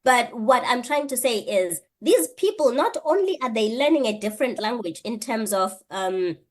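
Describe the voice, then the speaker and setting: A woman's voice: a little high, expressive, moderate pace. Earnest woman thinking aloud. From South Africa. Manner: earnest, thinks aloud with rhetorical questions and frequent restarts. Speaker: guest, PhD candidate and lecturer. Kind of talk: reflective interview answer.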